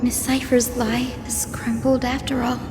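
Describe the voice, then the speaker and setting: An actress playing a gentle, selfless young sky priestess in a video game, speaking tenderly and softly. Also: female voice, a little high, flat delivery, a little slow, natural voice. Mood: tender, resigned. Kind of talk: dramatic game voice acting. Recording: long reverb with loud music. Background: loud music.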